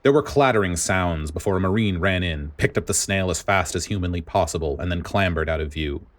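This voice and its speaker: A man's voice: low voice, a little fast. A man reading dramatic fiction aloud as a scripted story narrator. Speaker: audiobook narrator.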